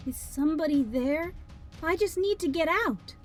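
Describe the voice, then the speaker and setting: A high, excitable young child, acted out by a woman in a storybook, bold and theatrical about every pretend adventure. The words come out as big exclamations, with music playing underneath. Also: moderate pace. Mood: worried, pleading. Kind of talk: children's storybook character acting.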